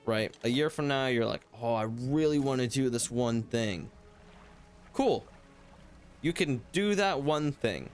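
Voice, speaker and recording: A man's voice: mid-range voice, expressive, a little slow, faint music behind. A young man hosting a solo talk show, rambling loosely and reflectively, his voice slightly worn from hours of talking. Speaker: podcast host.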